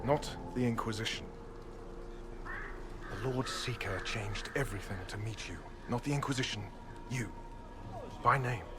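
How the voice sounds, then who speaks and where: An actor playing an earnest, duty-bound Templar knight, speaking formally and gravely in a video game cutscene. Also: male voice, medium pitch, unhurried, pauses often, loud music behind the voice, lightly acted.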